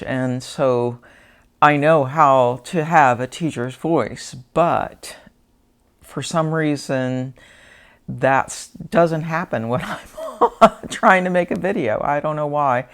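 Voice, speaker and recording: A teacher with a gravelly voice, talking through a casual, unscripted book vlog at home, thoughtful and rambling with restarts and pauses. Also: mid-range voice. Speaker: book vlogger. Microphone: wearing a mic.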